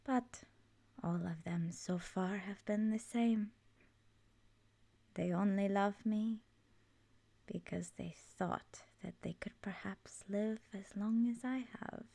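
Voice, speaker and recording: A woman voicing an ancient, immortal swamp witch in an ASMR roleplay, soft-spoken and often whispery, gently knowing and wistful. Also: medium pitch, slow pace, creaky, no room sound. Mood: wistful, somber.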